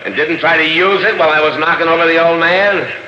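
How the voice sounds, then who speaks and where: A man's voice: fairly high pitch, pushed and bright. Penjahat pembunuh yang mencibir, rekaman radio tua bergema. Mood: smug, menacing. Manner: sneering, taunting, drawn-out gloating. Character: gloating murderous male crook with a gun. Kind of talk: 1960s radio crime drama acting. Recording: noisy, muffled, echoey old radio recording.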